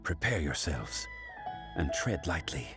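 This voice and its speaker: Deep serious scholarly anime game guy, formal and authoritative, lots of inflection. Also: big pitch swings, slow, heavily acted. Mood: commanding. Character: composed, formal, commanding man, scholarly and grave. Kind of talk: anime video game voice acting. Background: music.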